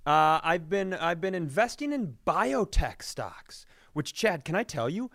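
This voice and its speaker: A man's voice: fairly high pitch, very animated, a little slow, a bit bright, no room sound, studio quality. A man co-hosting a comedy podcast, bantering casually with profane, self-mocking jokes.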